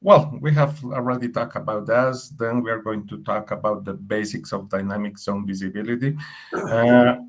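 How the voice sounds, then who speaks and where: A Colombian man, a native Spanish speaker, presenting over a video call in English, friendly and halting, with repeated words. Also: fairly low pitch, steady tone. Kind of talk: webinar presentation.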